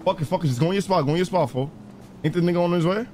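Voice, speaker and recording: Man streaming role-play, slightly high voice. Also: very fast, darkish tone, a little hiss or hum. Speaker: streamer playing a crime role-play game. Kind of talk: in-character gaming banter, street slang.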